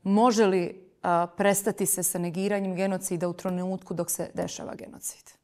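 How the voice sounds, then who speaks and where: A woman with a Bosnian accent hosting a TV interview, asking questions in a measured, formal way. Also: lowish voice, little pitch movement, darkish tone.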